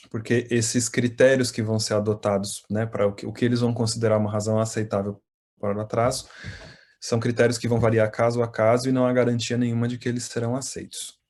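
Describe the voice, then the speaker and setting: A man from Brazil with a Brazilian Portuguese accent, presenting as a volunteer consultant at an online information session in a steady, explanatory way. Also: medium pitch. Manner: steady explanatory, frequent fillers.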